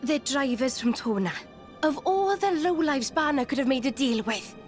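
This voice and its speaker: A woman's voice: high voice, lively intonation, moderate pace. A woman playing a feisty, sardonic young rebel fighter in a video game cutscene. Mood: scornful. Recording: loud music over the voice.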